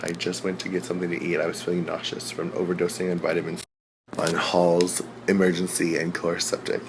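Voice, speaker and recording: A man with almost no voice left, sick and hoarse, films himself on a phone held at arm's length in a hotel room. His voice sits very low, and clear hiss runs under it. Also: completely flat delivery, fairly quick. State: lost voice, sick. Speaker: pageant contestant. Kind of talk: phone selfie video diary.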